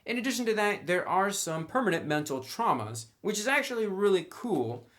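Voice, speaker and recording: A man's voice: sits high, big pitch swings, brisk, fairly bright tone. A man presenting a tabletop game review in a casual, conversational way, explaining things step by step straight to the viewer. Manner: conversational, instructional, addresses the viewer directly.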